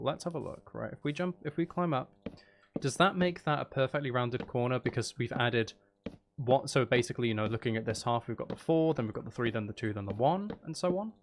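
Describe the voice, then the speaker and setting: A man with a gravelly, creaky edge and a medium pitch, chatting casually on a livestream. He muses half to himself, often posing questions and reasoning them through. Some background noise sits behind his voice. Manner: musing, self-questioning, talking half to himself. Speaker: live streamer. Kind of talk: casual gaming livestream chat, thinking aloud while building.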